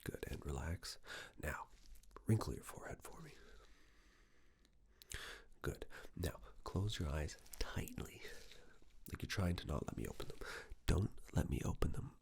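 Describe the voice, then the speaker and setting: Very deep, slow, whispering ASMR man. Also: animated, gravelly, slightly rough. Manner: hushed, gentle step-by-step instructions. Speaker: ASMR performer playing a clinician giving a cranial nerve exam. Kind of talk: ASMR medical roleplay, soft near-whisper.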